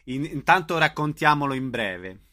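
Male interview host, slightly high pitch, bright forward voice, conversational questioning. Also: animated, normal speed.

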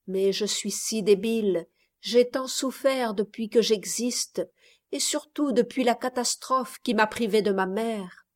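Woman doing a dying girl, soft, resigned, slow, audiobook. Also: on the high side, lightly acted.

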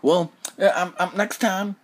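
A man's voice: sits high, fairly even intonation, measured pace. A man hosting a solo podcast, rambling in circles and repeating himself, swearing casually, after almost a full day without sleep. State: sleep-deprived, up almost 24 hours. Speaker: podcast host.

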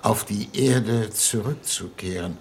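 An actor playing a solemn, pompous statesman on a diplomatic mission in a 1950s radio play, speaking formally and haltingly. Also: fairly low pitch, darkish tone. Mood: solemn, insistent. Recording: noisy 1950s radio recording.

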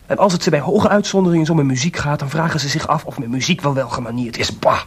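An actor playing an impetuous, wry and defiant composer in a Dutch radio drama. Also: male voice, fast. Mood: defiant, scornful. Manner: wry, informal, headstrong. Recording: clearly noisy, rumbling archive radio recording.

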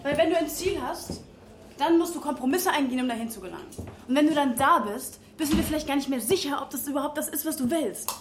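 A frustrated graduate in her twenties speaks in a high voice. She is disillusioned and argumentative, in naturalistic radio drama dialogue recorded with clear background noise. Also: animated, quick pace. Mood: bitter, agitated. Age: 20s. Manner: argumentative, questioning. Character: frustrated, disillusioned young pharmacy graduate working in a bar.

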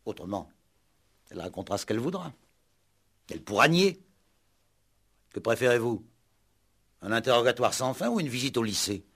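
An actor playing a brisk, probing, impatient police superintendent with a husky voice in a 1960s French radio play. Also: male voice, mid-range voice, very animated, a bit bright, full character voice. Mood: brisk, emphatic. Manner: questioning, insistent, repeats himself. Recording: 1960s radio drama recording.

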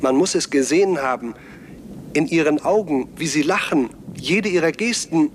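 An actor playing a troubled, resolute scout in a radio play, speaking in a brooding, guarded, insistent way. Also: male voice, on the high side, lively intonation, normal speed, natural voice. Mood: urgent, earnest. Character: troubled, resolute male scout reporting from forbidden island. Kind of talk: German radio play acting. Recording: 1970s radio drama, noisy outdoor ambience.

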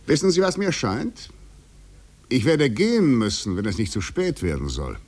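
A man's voice: mid-range voice, huge pitch swings, normal speed. An actor in a 1950s literary radio drama playing a taunting, eloquent male fugitive in a poetic, ironic manner. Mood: probing, ironic.

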